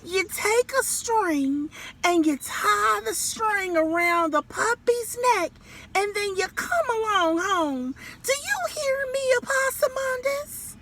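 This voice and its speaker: A woman voicing an exasperated mother possum from a children's storybook, scolding dramatically in drawn-out, emphatic phrases. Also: very high voice, lively intonation, sharp tone, voice pushed up, exaggerated voice, poor audio. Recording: clear background noise, outdoors.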